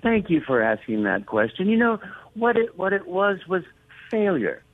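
A man, a voice actor, telling stories as an interview guest with warm enthusiasm and excited repetitions. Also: sits high, normal speed, no echo. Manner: warm, enthusiastic, repeats words when excited. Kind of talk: storytelling interview talk. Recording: dry, thin phone-like line.

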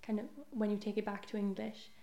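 Young woman, podcast guest, creaky low-key tone, chatty and self-deprecating, roomy echo. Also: monotone, a little fast, dull audio. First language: Welsh. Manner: chatty, self-deprecating, often trails into soft asides. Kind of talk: casual chat.

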